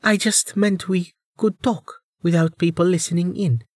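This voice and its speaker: Man putting on a high, teasing young woman. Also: fairly even intonation, a little slow, no room sound, studio quality. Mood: false innocence. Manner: playful, mock-innocent, teasing. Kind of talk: audiobook character dialogue.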